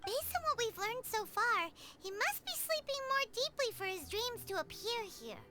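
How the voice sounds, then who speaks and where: An actress voicing a tiny excitable girl fairy companion who talks about herself in the third person, in a game scene. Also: moderate pace. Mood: puzzled. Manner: high, squeaky, refers to herself in third person. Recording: game audio with loud music.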